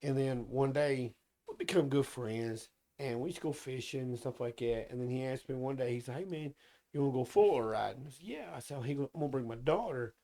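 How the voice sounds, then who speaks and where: A man chats as a guest on a podcast, telling stories in plain, colloquial talk. His mid-pitched voice is still a bit hoarse from being sick. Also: a little fast, dark tone. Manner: plainspoken, storytelling, colloquial grammar. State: recovering from lost voice. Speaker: guest, host's uncle. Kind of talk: casual chat.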